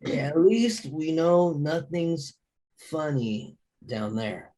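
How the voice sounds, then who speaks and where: A man's voice: fairly high pitch, lively intonation, slow pace, mellow, poor audio. Brief: actor as tipsy, boorish male pal, teasing and jokey, everyday delivery, video-call table read. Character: boorish, tipsy, joking male buddy with a beer. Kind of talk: short film table read.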